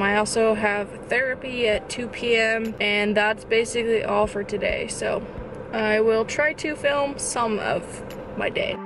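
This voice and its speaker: A woman's voice: on the high side, noisy background. A tired young woman chatting casually to a handheld camera about her day, from her car and her home. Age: young adult. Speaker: vlogger. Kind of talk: casual vlog chat. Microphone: phone or camera held close.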